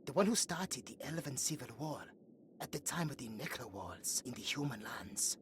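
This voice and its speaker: A woman playing a young, earnest and chatty elven guard in a fantasy audio roleplay, musing aloud in a soft, hesitant way. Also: very deep voice, animated, normal speed, a little hiss or hum. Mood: gentle, solemn.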